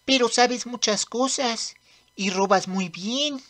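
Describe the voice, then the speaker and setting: Woman as bratty little demon prince boy, high bright voice, bossy n excitable, cartoon dub, not rushed. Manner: brash, commanding. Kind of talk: amateur manga dub acting.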